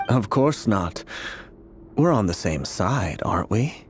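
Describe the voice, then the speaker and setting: An actor playing a cryptic, fatalistic male seer in a fantasy video game, with a measured, formal and unsettling manner. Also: fairly low pitch, big pitch swings, faint music behind. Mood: knowing. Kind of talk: dramatic video game acting.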